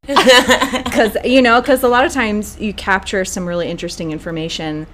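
A woman's voice: mid-range voice, steady tone, normal speed, fairly bright tone. A woman hosting a spiritual podcast, bubbly and effusive, laughing often. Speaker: podcast host. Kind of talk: casual chat.

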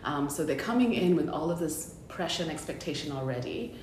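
A woman's voice: lively intonation, a little fast, a little mellow. A woman working as an educator, talking conversationally in an interview. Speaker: school educator being interviewed. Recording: roomy, faint rumbling background noise.

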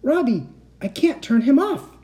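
A reader putting on a scared young storybook kid in danger. The voice is pitched high, urgent and pleading. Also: sing-song, measured pace, dark tone. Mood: frantic.

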